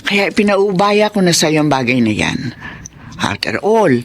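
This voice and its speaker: Doting, expressive Filipino grandmother. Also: fairly low pitch, measured pace, a little hiss or hum. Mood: firm, caring. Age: middle-aged. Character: doting, secretive, protective grandmother and mother-in-law figure. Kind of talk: Filipino radio drama acting.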